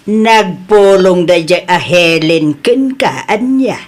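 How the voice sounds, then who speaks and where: A woman playing a proud, stubborn wife in an Ilocano family comedy drama, with a husky, emphatic delivery. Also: fairly low pitch, expressive, slow pace. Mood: indignant. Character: proud, stubborn, debt-dodging wife who softens.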